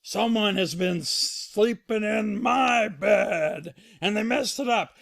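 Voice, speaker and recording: Lady doing a gruff papa bear for a kids story, loud and indignant, draws words out. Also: mid-range voice, slow, voice pushed up. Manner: loud blustering, growls and roars. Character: gruff, indignant father bear, blustering and growly. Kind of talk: children's storytelling.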